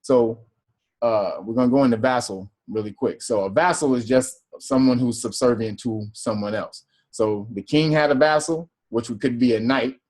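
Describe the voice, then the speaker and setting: A man's voice: lowish voice, a little mellow. Laid-back man teaching a casual class. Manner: loose slangy delivery with profanity and laughter. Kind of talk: casual lecture reading definitions aloud.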